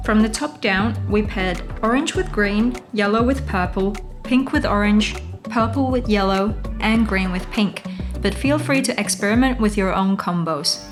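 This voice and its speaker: A woman narrating a scripted craft tutorial as its host, giving step-by-step instructions. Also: mid-range voice. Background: music.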